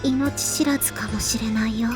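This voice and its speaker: A young woman playing an earnest, gently cautioning teenage schoolgirl in a Japanese visual novel. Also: fairly high pitch, fairly even intonation, fast talker, music in the background, natural voice. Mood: grave warning.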